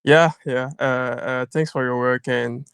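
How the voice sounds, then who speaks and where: A man with a French accent, a native French speaker, calling in with a halting, apologetic question full of fillers. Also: mid-range voice, steady tone, creaky, a bit bright, clean tone, dry recording. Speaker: caller. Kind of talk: casual call-in question.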